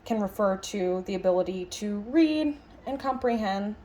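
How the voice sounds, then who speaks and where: Expressive woman voicing a reflective lecture. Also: medium pitch, moderate pace, a little hiss or hum. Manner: musing, emphatic phrasing with rhetorical questions. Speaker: sign language interpreter voicing a signed lecture. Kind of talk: interpreted lecture, conversational and reflective.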